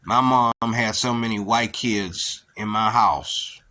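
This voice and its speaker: A man's voice: on the low side, slow, bright, forceful tone. A man from near Pittsburgh with a Pittsburgh accent, rambling and repeating himself emphatically in a casual monologue. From near Pittsburgh, USA. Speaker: vlogger.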